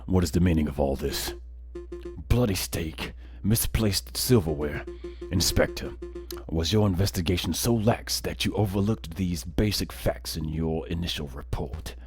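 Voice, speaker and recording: A man puts on a stern game judge with a very low, weighty voice. He is pompous and measured, handing down scorn, with music underneath. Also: darkish tone. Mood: stern, scornful. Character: stern, scornful old male courtroom judge, deep and imperious.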